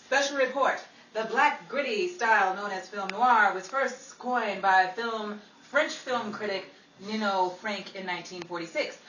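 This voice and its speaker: A woman's voice: mid-range voice, a little slow, a bit bright, lightly acted. A woman playing a sassy hard-boiled 1940s detective who narrates a radio show in a theatrical, wisecracking way. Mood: theatrical urgency. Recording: roomy, distant, noisy live room recording.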